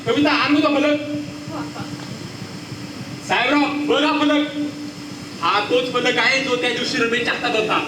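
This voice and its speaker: A man's voice: very high voice, fairly even intonation, a little slow, pauses often. Guy doing righteous newsman in a play, declamatory, maharashtrian accent, echoey and noisy. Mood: accusing. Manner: stern, declamatory. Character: principled male newspaper journalist, righteous accuser. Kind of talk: theatrical Marathi stage acting. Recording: distant, noisy, echoey stage recording.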